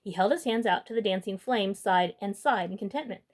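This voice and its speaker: A woman's voice: medium pitch, brisk. A woman hosting a storytime, reading a children's book expressively and adding informal asides. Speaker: storytime host reading aloud.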